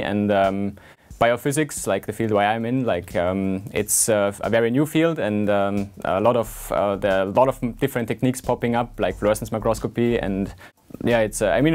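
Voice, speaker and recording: Young man, low voice. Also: a little mellow. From Austria. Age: 20s. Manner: earnest, many fillers and restarts. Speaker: PhD student. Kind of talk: scientist portrait interview.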